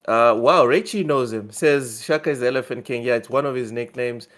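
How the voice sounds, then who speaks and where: A man co-hosting a casual gaming news show, talking excitedly and often repeating words as he thinks. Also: medium pitch, brisk. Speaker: podcast co-host.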